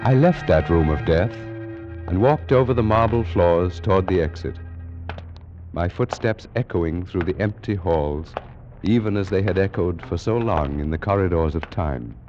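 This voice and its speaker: Male actor, cultured scholar in a vintage radio thriller: lowish, expressive, formal, muffled sound, music underneath. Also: close to an everyday voice. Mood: grim, fascinated. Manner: formal, dramatic, measured. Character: cultured male Egyptology scholar, secretly an ancient priest. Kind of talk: 1940s radio acting and first-person narration.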